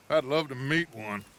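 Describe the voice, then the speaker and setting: An actor playing a confident, charismatic older outlaw gang leader in a video game, with a grandiose, commanding manner. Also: male voice, mid-range voice, pushed and bright. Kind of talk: dramatic video game acting. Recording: game audio with clear background noise.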